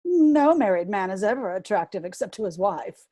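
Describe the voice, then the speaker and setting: Woman doing a coy moralizing middle-aged governess for a stage play, husky, very swoopy delivery. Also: medium pitch, very animated, normal speed, warm tone, no echo, studio quality. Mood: coyly moralizing. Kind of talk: dramatic reading of a stage comedy.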